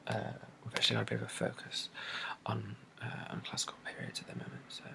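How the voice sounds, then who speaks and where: British guy doing soft asmr bookshop roleplay, really gravelly n half whispered, kinda rambly. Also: fairly low pitch, monotone, quick pace. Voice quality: breathy, soft, often dropping to a whisper. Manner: hesitant, rambling, repeats phrases. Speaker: ASMR creator playing a bookshop assistant. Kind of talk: soft-spoken ASMR roleplay, improvised book descriptions.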